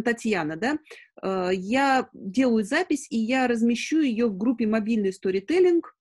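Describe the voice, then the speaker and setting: A woman in her forties hosts an informal webinar over a video call, answering questions in a halting way as she thinks aloud. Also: medium pitch, darkish tone. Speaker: webinar host. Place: indoors, room at home. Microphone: video call on a laptop.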